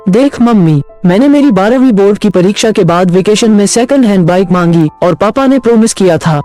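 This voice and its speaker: Guy doing stubborn teen schoolboy in a cartoon story, pretty high voice, bit of music behind. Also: animated, brisk, warm tone. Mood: stubborn, demanding. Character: stubborn demanding teenage schoolboy son from a poor family. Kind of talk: animated moral story dialogue.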